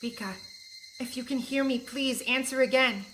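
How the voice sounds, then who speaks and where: A woman voicing a gentle girl deity who calls softly, pleading from far away. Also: high voice, normal speed, background noise, close to an everyday voice. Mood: distant, pleading.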